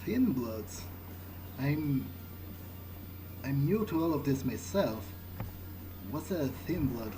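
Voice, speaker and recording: A man's voice: medium pitch, animated, slow, pauses often, music in the background, low-quality recording. A man role-playing a newly turned, puzzled vampire during a game playthrough, talking in a casual, questioning, hesitant way. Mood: curious, hesitant. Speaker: game player role-playing his character. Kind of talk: improvised in-character gameplay talk.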